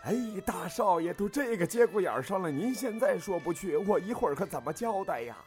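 Brief: male actor as wheedling middle-aged theater boss, obsequious, very lively pitch, music behind, period radio drama. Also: a little fast. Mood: pleading. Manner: obsequious, pleading, exclamatory. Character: fawning older male opera troupe boss, wheedling and eager.

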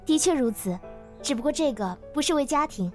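Woman acting a reserved young secretary girl in a radio drama, earnest, plain-spoken, highish voice. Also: expressive, brisk, darkish tone, faint music behind. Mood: guarded, earnest. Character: reserved, candid young female office secretary. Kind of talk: modern audio drama acting.

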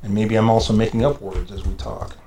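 A man's voice: fairly low pitch, little pitch movement, a little fast, gravelly, dark tone, slight background noise. A man hosting a casual, rambling podcast after-show, hesitantly searching for words and poking fun at himself. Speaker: podcast host, audio drama producer.

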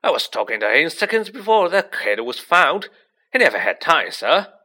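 A man voicing a big, shaggy, loyal groundskeeper in a storybook, blustering along loudly and urgently. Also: on the low side, very animated, unhurried, husky, heavily acted. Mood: agitated, urgent. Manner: loud, urgent, ranting.

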